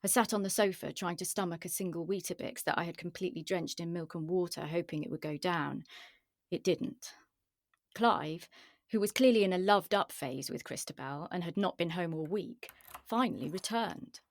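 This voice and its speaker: A woman's voice: lowish voice, moderate pace, no echo, clean studio sound, lightly acted. An actress playing an anxious, frank young woman who narrates her own story in an intimate, wry, confessional way and acts out her dialogue. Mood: rueful confession. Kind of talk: confessional first-person narration with acted dialogue.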